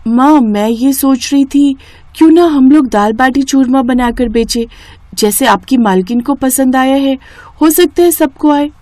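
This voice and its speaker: A woman's voice: sits high, measured pace, a little mellow, dry recording, voice pushed up. A woman voicing an eager young daughter in a cartoon story, earnest and persuasive with her mother.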